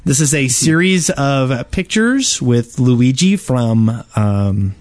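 A man with a mid-pitched voice talks casually as a podcast co-host. The sound is dry, with hardly any room on it. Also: expressive, takes it slowly, a little mellow, no room sound. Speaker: podcast co-host and wine salesman. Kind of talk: casual gaming podcast chat.

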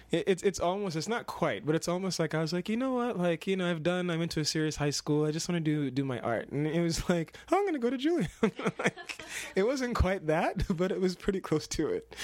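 A man's voice: a little high, no room sound. A young man from Kenya, an actor and dancer, talking through his path in a casual interview, rambling with many restarts and laughs.

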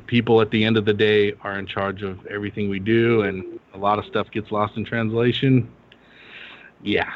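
A man's voice: on the low side, little pitch movement, normal speed. Cohost guy ranting about a mess, swears a lot, kinda dull sound w/ faint hiss. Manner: blunt, profane storytelling. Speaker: podcast co-host. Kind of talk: casual chat. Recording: slightly dull with faint noise.

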